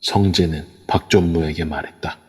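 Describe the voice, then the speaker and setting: A man reads the narration of an amateur audio drama in a steady, even storytelling voice. His voice sits very low and sounds husky. A long reverb from the voice chat room trails behind it. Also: moderate pace. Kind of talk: scripted narration.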